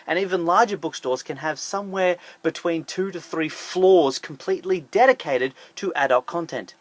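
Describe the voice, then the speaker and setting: A man hosting an online video, narrating a scripted countdown list in an upbeat, emphatic way with jokey asides. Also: fairly high pitch. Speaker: YouTube host.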